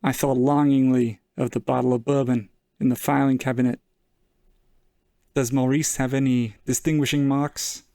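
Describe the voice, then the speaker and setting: An actor playing a world-weary, wry 1940s private investigator in a hardboiled noir audio drama, deadpan and measured. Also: male voice, medium pitch, dry recording, studio quality, lightly acted. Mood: businesslike. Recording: saxophone music under the voice.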